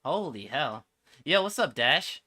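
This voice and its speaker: A man's voice: on the high side, big pitch swings, measured pace, pushed and bright. A man giving casual, slangy reaction commentary as a game streamer, with an exasperated manner.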